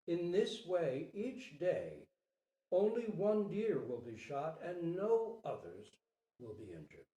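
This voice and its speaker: A man playing a noble, solemn stag leader, grave and deliberate, in a storybook reading. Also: a little high, wildly swooping pitch, mellow, exaggerated voice. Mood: grave resolve. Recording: roomy, distant microphone.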